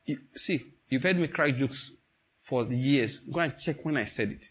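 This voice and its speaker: A man's voice: mid-range voice, measured pace, husky. A man with a Nigerian accent preaching informally, telling stories, acting out what people say and slipping into Pidgin. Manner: animated storytelling, acts out quoted speech, slips into Pidgin. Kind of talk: informal sermon with stories and jokes.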